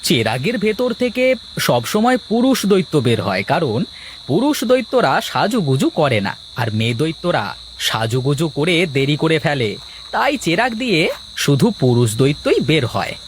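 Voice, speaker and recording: A man's voice: a little high, sing-song, moderate pace, slight background noise. A man playing a grumbling, long-suffering village potter and husband in a folk-tale cartoon. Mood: explaining.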